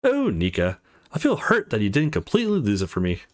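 A man's voice: on the low side, huge pitch swings, a little fast. A man voicing a confident, playful young college woman in a visual novel.

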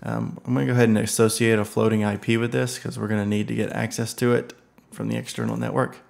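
A man with a low voice that has a heavy gravelly fry talks through a software setup at his computer. He is relaxed and unhurried, filling gaps with ums and uhs as he clicks along. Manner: relaxed, many ums and uhs, thinks aloud. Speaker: software tutorial presenter. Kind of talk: casual screen-recorded tech walkthrough.

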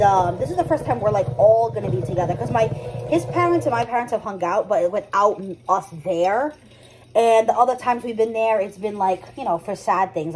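A woman's voice: medium pitch, darkish tone, rough recording. A young woman chatting casually to her vlog audience at home, talking loosely with lots of "like" and restarts. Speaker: vlogger. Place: home kitchen.

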